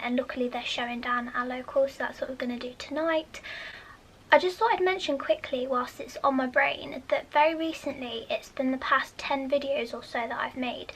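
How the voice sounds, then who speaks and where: A young-sounding woman with an English accent speaks in a soft, very high, childlike voice. She chats in a loose, rambling way, often sinking to a near whisper. A faint hum sits under the recording. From United Kingdom. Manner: chatty, rambling with fillers. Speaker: vlogger. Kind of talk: casual vlog chat.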